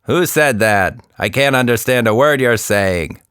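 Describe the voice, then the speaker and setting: A man's voice: mid-range voice, expressive, a little slow, fairly bright tone, smooth, no echo, clean studio sound, voice pushed up. A man voicing a grumpy giant monkey in a children's story, with a thundering, exclaiming manner. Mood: confused, grumpy. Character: giant grimy sewer monkey, grumpy, booming.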